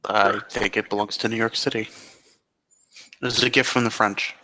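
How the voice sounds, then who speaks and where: A man playing a brooding, preoccupied, wistful character in improvised roleplay, musing in halting phrases. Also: on the low side, fairly even intonation, pushed and bright, low-quality recording. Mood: matter-of-fact.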